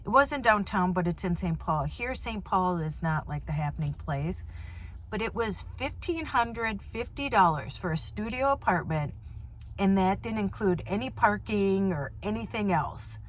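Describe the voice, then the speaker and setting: A woman's voice: medium pitch, animated, a little slow. A woman, chatting casually to camera in a van in a rambling way, full of fillers. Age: 50s. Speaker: vlogger. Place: inside a van.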